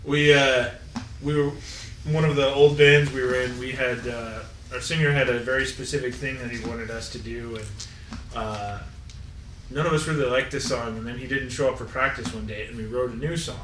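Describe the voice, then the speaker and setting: A man's voice: medium pitch, steady tone, moderate pace, fairly bright tone, reverberant, recorded from a distance, slight background noise, rough recording. Man telling stories from across the room. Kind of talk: casual chat.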